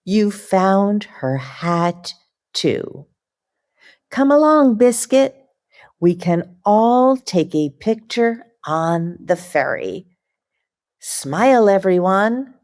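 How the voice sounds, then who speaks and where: A woman voicing a cheerful little girl who cares for her puppy, in a gentle, sing-song read-aloud manner. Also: mid-range voice, big pitch swings, drawn-out words, voice pushed up.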